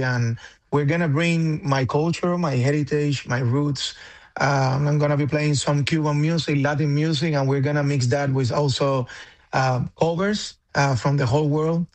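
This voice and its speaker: A man from Cuba talks on a radio show as a guest musician. He speaks haltingly, with lots of fillers and restarts, in an ordinary middle pitch. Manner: hesitant, many fillers and restarts. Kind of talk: radio interview.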